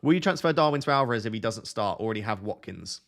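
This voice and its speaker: A man's voice: on the low side, animated, fast talker, a bit bright. Soothing young man streaming chat. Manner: reads viewer questions aloud and answers them; self-described relaxing, soothing voice. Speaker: fantasy football streamer. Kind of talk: casual live stream chat.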